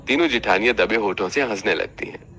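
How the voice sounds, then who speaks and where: A man's voice: on the low side, fast, pushed and bright. A man reading scripted narration for a cartoon family story.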